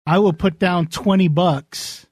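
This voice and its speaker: Male co-host with a high voice, dry studio sound, loose banter. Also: fairly even intonation, measured pace, a little mellow, dry recording. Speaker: show co-host. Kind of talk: casual panel banter.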